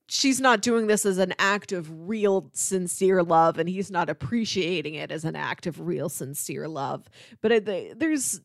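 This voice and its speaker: A woman's voice: mid-range voice, no room sound, studio quality. A woman co-hosting a film podcast, talking casually and analytically, peppering her thoughts with "like". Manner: chatty, analytical, frequent "like". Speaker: podcast co-host reviewing films.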